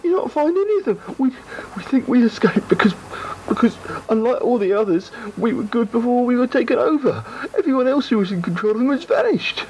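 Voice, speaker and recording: A performer voicing desperate former henchmen, pleading earnestly in a lowered voice. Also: darkish tone, a little hiss or hum, close to an everyday voice, poor audio. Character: pleading freed henchmen, once controlled.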